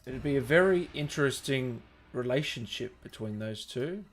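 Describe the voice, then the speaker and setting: A man's voice: mid-range voice, lively intonation, normal speed, faint music behind. A man hosting a livestream, chatting informally and jokingly with his viewers in a matey way. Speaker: livestream host reading viewer comments. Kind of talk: casual livestream chat with viewers.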